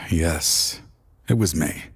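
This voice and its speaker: A man playing a weary older scholar, composed, formal and quietly cynical, with a husky voice in an anime game. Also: a bass voice, big-room sound, exaggerated voice. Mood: resigned, cynical. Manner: measured, formal, world-weary. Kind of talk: English anime game voice acting.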